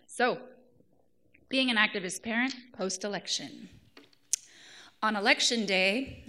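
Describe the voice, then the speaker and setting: A woman's voice: mid-range voice, pushed and bright. Woman, thirties, scratchy voice, earnest, fillers and restarts, live reading. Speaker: writer reading her essay at a public event. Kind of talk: live reading with spoken introduction. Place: arts center event hall.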